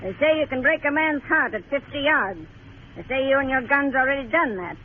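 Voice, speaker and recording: A woman's voice: high voice, expressive, a little fast. An actress playing a brusque, weary frontier woman of about fifty, plain-spoken and folksy, in a radio western. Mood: blunt, urgent. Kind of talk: 1950s radio western acting. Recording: tinny noisy old radio recording.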